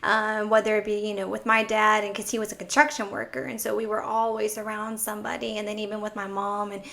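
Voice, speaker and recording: Bright-voiced upbeat young woman chatting. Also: medium pitch, flat delivery, quick pace, gravelly. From Texas. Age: 20s. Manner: rambling, upbeat, laughs often. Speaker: podcast guest. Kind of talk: casual conversation.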